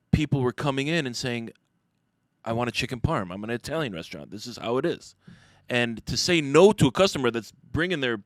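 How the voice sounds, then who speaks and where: A man's voice: mid-range voice, fairly bright tone, smooth, no echo. An American man from the Detroit area talking casually as an interview guest, emphatic and plain-spoken. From Detroit area, United States. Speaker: podcast guest, restaurateur.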